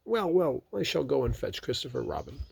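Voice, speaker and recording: A man voicing a fussy, chatty male rabbit from a storybook, talking in a high, prim, busy way. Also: very animated, fairly quick, vocal fry, darkish tone, breathy edge, full character voice.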